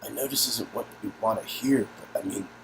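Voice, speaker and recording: A man acts a bewildered young game hero. He is earnest and concerned, halting and stammering as he questions a friend. There is some noise behind the voice. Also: fairly low pitch, creaky. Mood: worried, earnest.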